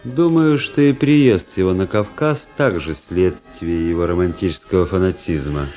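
A low, dark male voice from an actor playing a bored aristocratic officer in a radio drama. He speaks in a measured, ironic, world-weary way, like someone reading from his private diary. Faint music runs behind him. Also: natural voice. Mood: sardonic. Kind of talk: literary radio play acting and narration.

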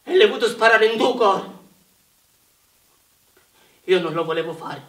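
A man's voice: sits high, animated, moderate pace, fairly bright tone. An actor playing a shy, innocent, guilt-ridden young infantryman in a war drama, speaking earnestly. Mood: anguished. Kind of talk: live stage or radio war drama acting. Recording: roomy, distant microphone, music behind.

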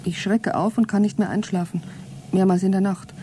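A mellow, mid-pitched female voice with faint rumbling noise behind it an actress playing an anxious, defiant woman in a German radio drama. She sounds like someone trapped at close quarters, uneasy yet unwilling to give in. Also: fairly even intonation, normal speed, close to an everyday voice. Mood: uneasy, weary. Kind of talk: German radio play acting, spoken logbook monologue.

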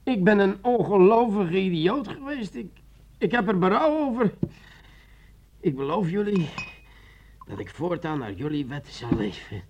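Radio play: bitter, boozy sergeant, fairly high voice, swinging widely from tipsy mutter to exclamation. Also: male voice, very animated, unhurried, pauses often, darkish tone, highs cut off, a little hiss or hum, full character voice. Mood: fervent, exalted. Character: drunken, bitter army sergeant, father of a baby.

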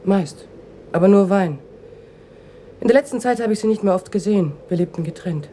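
An actress playing a reserved, bereaved daughter of almost thirty in a German radio crime drama, speaking in short, matter-of-fact sentences. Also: female voice, medium pitch, brisk, mellow, slight background noise, lightly acted. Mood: subdued. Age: young adult.

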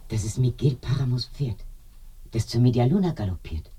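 An actress playing an eerie village widow in a radio drama, confiding and animated, with a dark husky voice that sinks to murmurs. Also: female voice, a bass voice, moderate pace, slight background noise. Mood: musing. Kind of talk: binaural radio drama acting.

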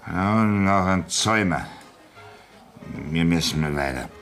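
An actor with a Bavarian accent playing a tipsy, sentimental farmer in a radio play. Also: male voice, low-pitched, measured pace, a bit bright, faint music behind. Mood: stubborn. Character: drunk old farmer mourning his friend. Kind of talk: 1960s radio play acting.

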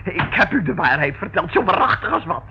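An actress playing a defensive, sharp-tongued wife from a shady hotel in a radio play, colloquial, excitable and indignant. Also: female voice, fairly low pitch, a little fast, gravelly. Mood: panicky, pleading. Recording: muffled, noisy old radio recording.

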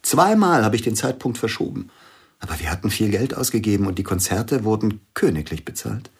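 Radio play: a bereaved pianist, deep voice, husky and hushed. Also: male voice, expressive, brisk. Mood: grieving. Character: grieving widowed male concert pianist. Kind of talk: radio drama acting.

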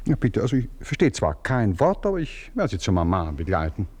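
An indignant, impatient husband. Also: male voice, on the low side, wildly swooping pitch, brisk, darkish tone, slightly rough, heavily acted. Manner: indignant, swings between scorn and pleading. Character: impatient, jealous husband given to outbursts. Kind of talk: radio play acting.

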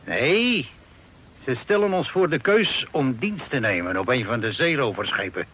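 Bright-voiced, hearty old-time sea captain. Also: male voice, medium pitch, very animated, measured pace, husky, exaggerated voice. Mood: steady, reassuring. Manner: folksy, colloquial, talkative. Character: hearty, garrulous Dutch sea captain, pious, aged forty-five. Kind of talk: old radio play acting, storytelling. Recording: old radio recording with hiss.

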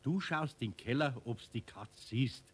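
An actor playing a good-natured, patient older craftsman with a Bavarian accent in a 1970s children's radio play, a man who gently teases. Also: medium pitch, normal speed, slightly rough, natural voice. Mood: coaxing, amused.

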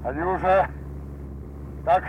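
Halting, very slow wounded bomber pilot. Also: male voice, high-pitched, phone-quality sound, slight background noise. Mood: strained resolve. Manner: halting, terse, laboured delivery. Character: wounded, stoic male bomber pilot and commander. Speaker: actor in an audio drama. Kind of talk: Soviet radio play acting.

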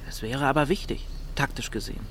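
A man's voice: medium pitch, fast, fairly bright tone, a little hiss or hum, lightly acted. An actor playing a cocky, scheming young small-town man in a radio drama, talking in a slangy, pushy, offhand way. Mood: probing, insistent. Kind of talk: naturalistic radio drama acting in German.

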